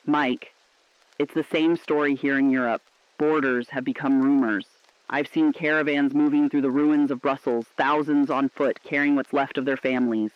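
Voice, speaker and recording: A man's voice: medium pitch, little pitch movement, moderate pace, no echo, lightly acted. A man playing a defiant, sombre resistance radio correspondent, speaking earnestly and poetically in a scripted drama. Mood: bleak. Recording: muffled, lo-fi radio-drama sound.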